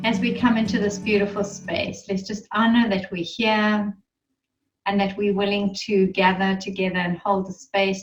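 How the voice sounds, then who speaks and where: A woman leading a guided meditation in a soothing, unhurried manner, with gentle instructions and pauses. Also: medium pitch, far from the mic, muffled recording.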